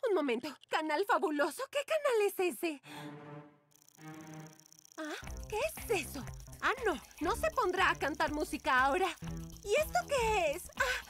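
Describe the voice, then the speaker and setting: High-pitched, whiny young cartoon diva. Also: female voice, very animated, measured pace, a bit bright, faint music behind, exaggerated voice. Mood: haughty, puzzled, then distressed, shocked. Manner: whiny, self-absorbed, theatrical. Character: vain, dramatic young blonde model and internet diva. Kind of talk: exaggerated cartoon dubbing.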